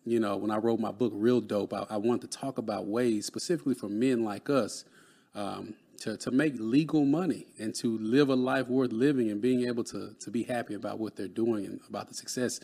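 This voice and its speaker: A man's voice: on the low side, fairly even intonation, a little fast, gravelly, dark tone. A man in his late thirties from Dallas, Texas, a guest speaking earnestly and motivationally about mentoring, often adding "man.". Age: 30s. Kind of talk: conversational interview.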